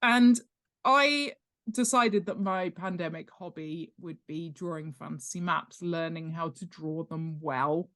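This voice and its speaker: A woman with a slightly low voice speaks as a guest in a casual interview. She is chatty and humorous, with a self-deprecating streak. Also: unhurried, fairly bright tone. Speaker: guest author and world-building expert.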